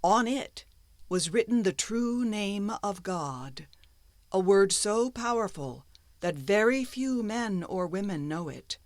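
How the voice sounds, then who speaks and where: A woman narrating a folktale as a storyteller, with expressive, dramatic phrasing. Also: mid-range voice, slow pace, no room sound.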